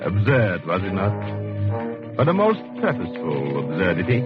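Low-voiced, pompous convict mastermind. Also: male voice, sing-song, music in the background. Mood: gloating, musing. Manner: pompous, measured, condescending. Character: cold, arrogant intellectual male murderer in prison. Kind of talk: 1940s radio acting. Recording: dull 1940s radio recording.

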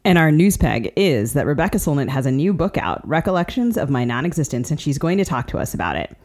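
A woman's voice: low voice, sing-song, fast talker, darkish tone. An American woman co-hosting a podcast, delivering a scripted introduction. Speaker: podcast co-host.

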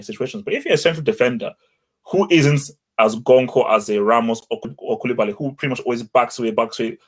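A man from Nigeria with a Nigerian accent, co-hosting a casual football chat, blunt and animated, often repeating words as he gets going. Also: mid-range voice. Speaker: football podcast co-host.

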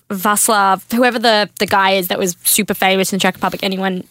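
Bright-voiced Australian woman, chatty. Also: medium pitch, fairly even intonation, moderate pace, no echo. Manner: chatty, storytelling, many fillers like "like" and "um". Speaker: guest co-host. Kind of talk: casual podcast chat.

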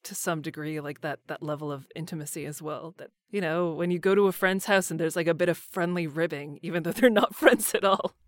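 A woman's voice: low-pitched, fairly quick, few pauses, dry recording. A woman voice actor chatting casually as an interview guest, with informal, loosely built phrasing. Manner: informal, hesitant phrasing full of "like". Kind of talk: casual cast interview chat.

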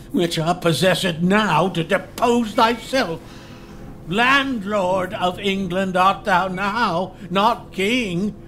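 A man playing a dying old nobleman with a high, strained voice, speaking slowly and bitterly, laughing through his reproaches. He delivers verse in a classical stage style, with faint background noise. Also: fairly bright tone, heavily acted. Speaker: actor.

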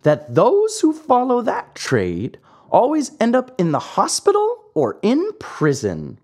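A woman voicing a wise old male cricket who lectures in a calm, breathy voice. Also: on the low side, measured pace, pitched above the performer's own voice, full character voice. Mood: lecturing.

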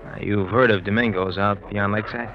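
An American actor playing a smooth-talking, brash professional gambler in 1940s radio drama, with a slangy, cajoling delivery. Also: male voice, fairly low pitch, steady tone, fairly quick, natural voice. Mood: smooth persuasion. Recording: dull, lo-fi old radio recording.

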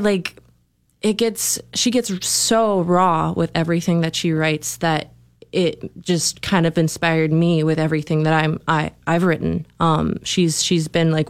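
Smooth-voiced young woman musician guest. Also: low-pitched, dry recording, studio quality. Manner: chatty, with fillers and little laughs. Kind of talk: casual interview talk.

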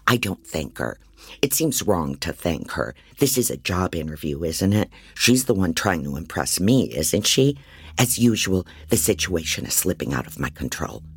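A man's voice: deep voice, sing-song, normal speed, breathy edge, no room sound, heavily acted. A man playing a frantic, disorganized private investigator who narrates an audio drama in a rambling, wry, self-doubting way. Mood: wry, brooding. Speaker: narrator and lead actor. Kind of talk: first-person dramatic audio fiction narration.

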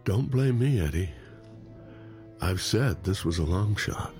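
Guilt-worn brother in an audio drama, slow n halting, lowish voice, kinda wry. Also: male voice, expressive, over music. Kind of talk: naturalistic audio drama acting.

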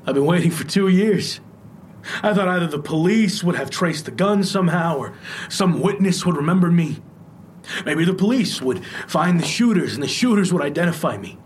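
An actor playing a guilt-ridden, lovesick, weary man in an audio drama, speaking in a halting, subdued, confessional way. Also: on the high side, slight background noise, natural voice. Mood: resigned, confessing. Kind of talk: naturalistic audio drama acting.

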